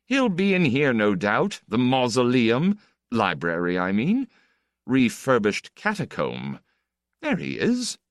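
Man plays flippant aristocrat for audiobook: ironic, urbane, self-assured, raised pitch with big swoops. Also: wildly swooping pitch, takes it slowly, dry recording, studio quality, full character voice. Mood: teasing, assured. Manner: flamboyant, urbane, teasing. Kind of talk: audiobook character dialogue.

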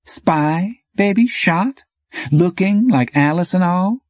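Male narrator as cocky young-sounding street courier, raised pitch, slangy, teasing, needling, audiobook dialogue, slightly muffled sound. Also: animated, slow pace, a little mellow, dry recording.

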